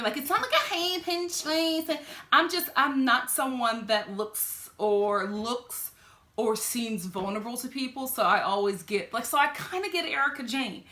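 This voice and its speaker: Sassy woman, bright voice, echoey room. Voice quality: fairly deep voice. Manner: animated, sassy, talks straight to the people on screen. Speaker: reality TV reviewer. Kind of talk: casual reaction commentary.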